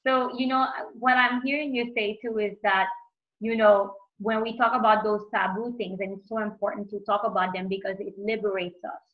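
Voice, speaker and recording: A woman's voice: mid-range voice, steady tone, moderate pace, dull audio. A woman hosting a conversation, affirming her guest and echoing what she hears. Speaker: podcast host, coach. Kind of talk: interview conversation.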